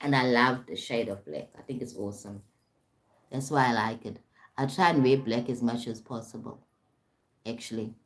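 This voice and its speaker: A woman with a remarkably deep, low voice hosts a casual spiritual live stream. She talks loosely and keeps checking in with her viewers, repeating words as she goes. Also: moderate pace. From South Africa. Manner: repetitive, frequent "right?" check-ins. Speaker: livestream host, spiritual coach.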